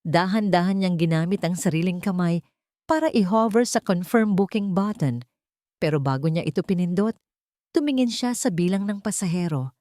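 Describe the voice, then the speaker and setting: A woman's voice: fairly low pitch, normal speed, warm tone, dry recording, studio quality. A woman narrating a scripted dramatic story.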